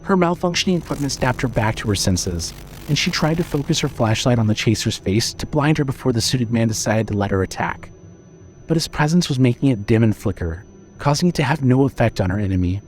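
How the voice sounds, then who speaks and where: A man's voice: mid-range voice, lively intonation, fairly quick, faint music behind, low-quality recording. A man reading scripted horror fiction as the narrator of an audio drama.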